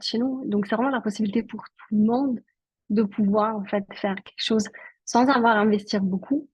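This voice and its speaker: A chatty woman from Switzerland, a native Italian speaker, talks as a guest in an interview and leans on filler words. Her voice has a husky, slightly breathy edge. The sound is dry and a little dull, as over a video call. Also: medium pitch, no room sound. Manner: chatty, many fillers like "en fait". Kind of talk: casual interview talk.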